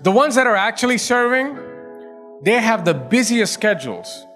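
A man's voice: high voice, very animated, normal speed, fairly bright tone, faint music behind. A male pastor preaching a sermon, pressing his points through repetition and rising emphasis.

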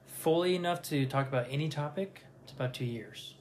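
A man in his thirties from Nevada hosting a casual podcast chat, telling stories in a relaxed, self-deprecating way. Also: a little high, brisk, slight background noise. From Nevada, USA. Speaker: podcast host. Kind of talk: casual conversation, storytelling.

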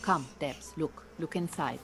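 A woman playing a firm, measured beekeeping teacher in an audio drama, giving instructions. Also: low voice, a bit bright, slight background noise. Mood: quietly pleased.

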